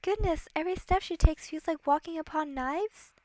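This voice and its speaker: A woman's voice: very high-pitched, moderate pace, warm tone, no echo, voice pushed up. A woman voicing a naive, dramatic young princess in a storybook read-aloud, prim and formal. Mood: prim dismay.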